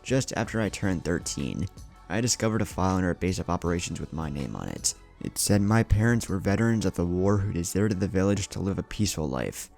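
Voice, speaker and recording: A man's voice: low voice, expressive, a little fast, creaky, darkish tone, soft background music. A man voicing a stern, pragmatic young female ninja in a clipped, clinical manner for a story reading. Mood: quiet, sombre.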